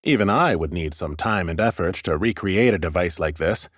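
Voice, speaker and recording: A man reading expressive first-person scripted narration as an audiobook narrator. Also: low voice, normal speed, no room sound, clean studio sound.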